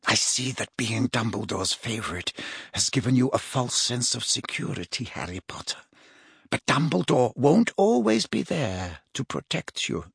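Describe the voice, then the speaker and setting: A man voicing a haughty aristocratic mother in a storybook, speaking coldly, smoothly and with deliberate menace. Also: lowish voice, fairly even intonation, a bit bright, no echo, studio quality, pitched below the performer's own voice. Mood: icy mockery. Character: cold, haughty aristocratic mother, quietly threatening.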